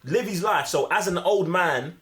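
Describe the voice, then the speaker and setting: A man's voice: high voice, animated, normal speed, a bit bright. A man with a London British accent chatting casually and enthusiastically about films, full of slang and repeating himself for emphasis. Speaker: film review panellist. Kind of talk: casual film chat.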